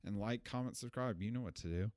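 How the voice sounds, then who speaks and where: Young male podcast co-host, casual film chatter, smooth lowish voice, dry close mic, loose rambling delivery. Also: quick pace, darkish tone, dry recording. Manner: rambling, self-correcting, laughs at own jokes. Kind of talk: casual film review chat.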